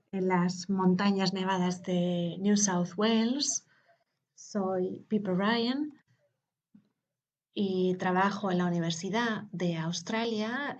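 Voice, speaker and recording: A woman, interpreting a conference talk live, hesitant with pauses and filler sounds. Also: mid-range voice, highs cut off.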